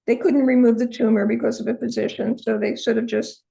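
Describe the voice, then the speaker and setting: An older woman with a hoarse voice, telling her personal story as an interview guest. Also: fairly high pitch, flat delivery, brisk, mellow. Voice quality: hoarse, from a vocal cord injury. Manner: earnest storytelling, many ums. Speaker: interview guest, holistic practitioner.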